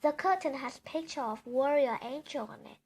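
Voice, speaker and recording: A woman's voice: sits high, moderate pace, a little mellow. A female reader narrating a children's bedtime story aloud.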